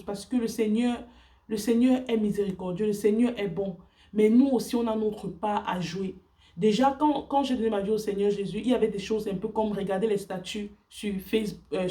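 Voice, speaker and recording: A woman whose first language is English speaks in earnest, halting French, with many fillers and restarts, sharing her faith on a casual vlog. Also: mid-range voice, dark tone, distant mic.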